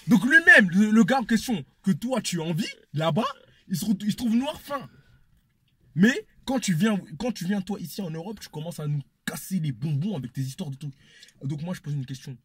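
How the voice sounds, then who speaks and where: A man's voice: high voice, lively intonation, moderate pace, sharp tone, a little hiss or hum. A man, giving his opinion emphatically in a casual debate and repeating phrases for effect. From Congolese descent. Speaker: panel speaker.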